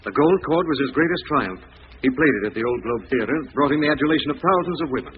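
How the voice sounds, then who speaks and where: An actor playing a brooding, deliberate amateur detective piecing clues together in an old-time radio drama. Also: male voice, mid-range voice, lively intonation, lightly acted. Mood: brooding realization. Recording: thin, phone-like, noisy vintage radio recording.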